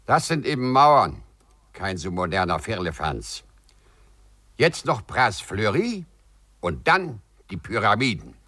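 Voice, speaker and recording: An actor in a 1950s German radio play, playing a polite, eccentric prisoner who speaks formally and precisely, serenely proud and rapt. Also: male voice, fairly low pitch, very animated, takes it slowly, pushed and bright, exaggerated voice. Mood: rapt, triumphant. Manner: courteous, formal, precise, rapturous. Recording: 1950s radio drama recording.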